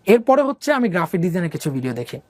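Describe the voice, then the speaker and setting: Fast-talking young man, dry close sound. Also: high-pitched, very animated, darkish tone, no room sound. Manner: rambling, mixes English words, many fillers. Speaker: guest telling his life story. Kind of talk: casual conversational storytelling.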